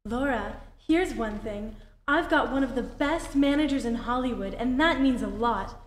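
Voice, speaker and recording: A woman's voice: a little high, moderate pace, lightly acted. A woman playing a chatty young Hollywood starlet in an old-time radio advert. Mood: proud, gushing. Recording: echoey stage hall, faint noise.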